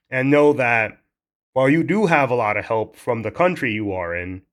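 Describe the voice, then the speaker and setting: A man's voice: medium pitch, fairly bright tone. A young man commenting in a casual, heated rant, blunt and swearing freely, often restarting his sentences.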